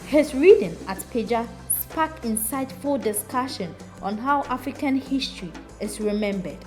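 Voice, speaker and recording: A woman's voice: on the high side, animated, moderate pace. Upbeat woman narrating a news recap. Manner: polished, upbeat delivery. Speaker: reporter narrator. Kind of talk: scripted narration. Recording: music under voice-over. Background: music bed.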